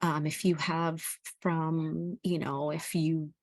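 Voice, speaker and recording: Casting: woman, middle-aged, earnest parent presenter, slightly low register, slow delivery, informal teaching style. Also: flat delivery, no echo. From El Salvador. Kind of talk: informal educational presentation with personal experience.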